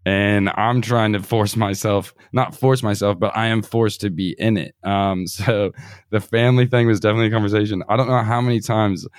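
A deep-voiced man talking as a podcast guest. He tells stories casually and laughs along as he goes. The recording is dry and close. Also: fairly even intonation, fairly quick, no echo. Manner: talkative, laughs while telling stories.

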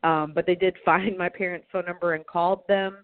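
A woman's voice: lowish voice, fairly even intonation, fairly quick, no echo. A woman interview guest recounting her own experience in the first person, often repeating phrases as she searches for words. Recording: tinny narrow phone-like sound.

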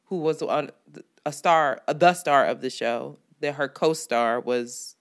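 A woman's voice: low voice, slow pace. A woman co-hosting a podcast, chatting casually, swearing freely and acting out quotes. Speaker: podcast co-host. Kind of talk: casual chat.

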